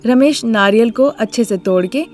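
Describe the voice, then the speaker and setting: A woman's voice: a little high, moderate pace, darkish tone, soft background music. A woman storyteller reading scripted narration of a moral story.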